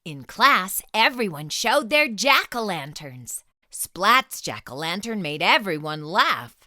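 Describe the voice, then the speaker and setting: A woman reading a children's book aloud as a storytime host, with expressive, dramatic storytelling. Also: medium pitch, takes it slowly, no echo, studio quality.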